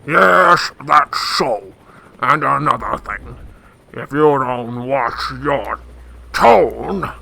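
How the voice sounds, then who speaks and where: A man acts out an arrogant, indignant big crime boss for a radio drama. His delivery is slow and gravelly with a hard, cutting edge. There is faint noise behind him. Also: medium pitch, expressive, pushed and bright, full character voice. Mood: indignant, angry. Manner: pompous, indignant.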